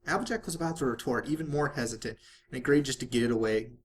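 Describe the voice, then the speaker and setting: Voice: mid-range voice, quick pace, slight background noise. An amateur reader narrating a story aloud in the manner of a home-made audiobook. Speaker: fan fiction reader.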